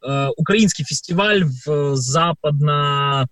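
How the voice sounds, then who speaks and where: A man's voice: on the high side, slow pace, fairly bright tone, dry recording. Hesitant man on dry studio mic. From Ukraine. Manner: hesitant, many filler sounds, storytelling. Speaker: performer and guest. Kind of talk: radio interview answers.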